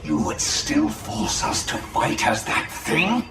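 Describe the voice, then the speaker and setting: Proud elf warlord, high voice, slow, imperious, zealous, distant echo. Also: male voice, huge pitch swings, very noisy, heavily acted. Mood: cold, scornful. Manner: imperious, measured. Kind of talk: dramatic game cinematic acting. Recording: echoey, distant, very loud music.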